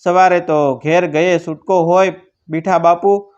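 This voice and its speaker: A man's voice: sits high, steady tone, measured pace, darkish tone, clean tone. A man voicing an impoverished, courteous village bard in a folk tale, with a rustic, emphatic Kathiawadi Gujarati delivery. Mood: uneasy, deferential. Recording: dull lo-fi recording.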